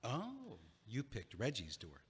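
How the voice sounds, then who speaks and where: A man's voice: mid-range voice, wildly swooping pitch, takes it slowly, husky, full character voice. A man playing a heartbroken, stubborn young guy in an audio play, earnest and exasperated, with a hesitant way of talking. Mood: explaining, hesitant. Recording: long echoing hallway reverb.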